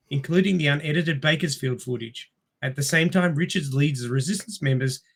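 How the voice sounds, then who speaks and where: A man hosting a casual movie discussion and recapping plots, his throat sore from coughing. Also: fairly high pitch. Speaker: podcast host.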